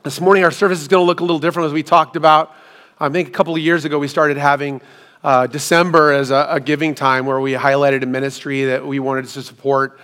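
Hoarse middle-aged man preaching. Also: fairly high pitch, flat delivery, quick pace, a bit bright. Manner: self-deprecating storytelling with asides. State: hoarse, recovering from lost voice. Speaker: pastor. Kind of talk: sermon. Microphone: hands-free microphone.

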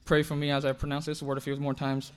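A man's voice: fairly high pitch, completely flat delivery, fast talker, clean tone. A man from China, whose first language is Chinese, preaching a sermon earnestly, often restarting and repeating words. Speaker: pastor.

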